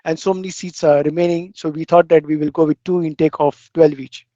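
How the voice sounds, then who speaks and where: Guy with a highish voice running an online info session, dull call audio. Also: little pitch movement, brisk, dark tone, dry recording. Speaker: program representative hosting questions. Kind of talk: answering questions in an online meeting. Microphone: online meeting call.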